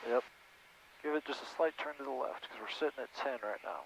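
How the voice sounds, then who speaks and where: A man's voice: medium pitch, brisk, gravelly. A man working as a flight instructor, coaching casually and encouragingly over a headset in a small airplane cockpit. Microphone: aviation headset intercom. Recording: muffled cockpit intercom over engine noise.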